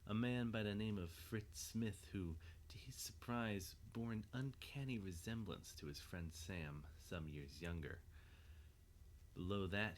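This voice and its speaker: A man's voice: sits low, a little hiss or hum. A man narrating a scripted audio drama as its storyteller.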